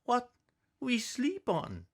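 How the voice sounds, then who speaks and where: A man voicing a young, practical immigrant mother, speaking halting broken English softly in a storybook reading. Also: very high-pitched, wildly swooping pitch, pitched above the performer's own voice, full character voice. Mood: puzzled. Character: young immigrant mother, soft-spoken, practical, quietly defiant.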